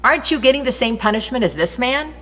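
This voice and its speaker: A woman's voice: fairly high pitch, expressive, fast talker, fairly bright tone, voice pushed up. A woman reading the part of a repentant male criminal, earnest and reproachful, in a Bible story. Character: repentant condemned criminal, a man scolding then pleading. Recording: long reverberant hall echo.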